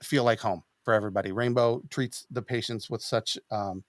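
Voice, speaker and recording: A middle-aged man hosting a podcast, chatting casually in a halting, hesitant way. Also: lowish voice, steady tone, dry recording, clean studio sound. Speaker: podcast host. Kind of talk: casual chat. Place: small home studio room. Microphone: boom-arm studio mic up close.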